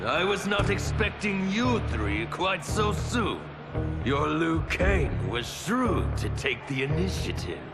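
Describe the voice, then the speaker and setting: An actor playing a gloating, theatrical chaos tyrant in a video game cutscene, mocking and flamboyant in delivery. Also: male voice, a little high, very animated, takes it slowly, gravelly, loud music behind the voice, heavily acted. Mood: mocking relish.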